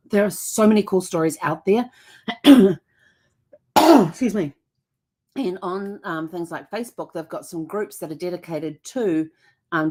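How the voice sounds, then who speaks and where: A middle-aged woman with a somewhat low voice talks through a beginner genealogy topic as the host. Her delivery is loose and conversational, full of ums, false starts and quick self-corrections. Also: darkish tone. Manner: frequent ums and self-corrections, stumbling over words. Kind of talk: casual explainer episode.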